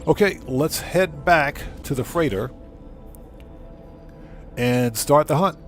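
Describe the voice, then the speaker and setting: A man's voice: on the high side, slow, fairly bright tone, faint music behind. A man livestreaming a video game, giving casual commentary and muttering to himself as he plays. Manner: muttering to himself while playing.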